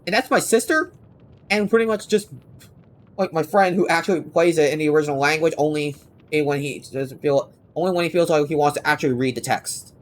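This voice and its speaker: A man chatting casually to viewers on a game livestream, rambling and halting as he doubles back on his sentences. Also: fairly high pitch, slight background noise. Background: game music.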